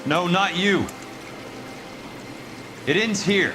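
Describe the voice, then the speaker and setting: An actor playing a tough, protective ex-marine sergeant in a video game, terse and commanding. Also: male voice, high-pitched, very slow. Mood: firm, terse. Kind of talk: dramatic video game voice acting. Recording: clear background water and vehicle noise.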